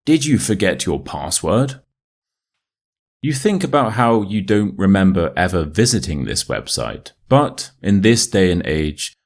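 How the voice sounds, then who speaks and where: A man with a modern RP British accent reading a short story as an English teacher, in a measured, clearly enunciated way. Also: sits low. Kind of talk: scripted story narration for listening practice.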